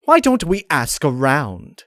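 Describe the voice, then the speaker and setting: A man voicing a refined, vengeful female pony in a dark storybook reading, with formal, condescending, theatrical diction. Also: on the high side, huge pitch swings, takes it slowly, no room sound, clean studio sound, pitched above the performer's own voice, exaggerated voice. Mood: cold, accusing. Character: refined vengeful female pony, chillingly polite, unhinged underneath.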